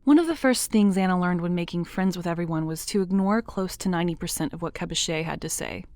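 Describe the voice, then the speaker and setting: A woman reading scripted narration for an audio drama, in a lively, expressive way. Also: fairly low pitch, quick pace, dry recording.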